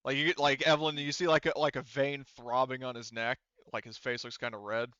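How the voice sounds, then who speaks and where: A man works as game master in a casual tabletop session, with a bright, crisp voice. He swears and jokes his way through the narration, recorded close and dry. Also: medium pitch, flat delivery, a little fast, no room sound, lo-fi sound. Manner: sweary, joking.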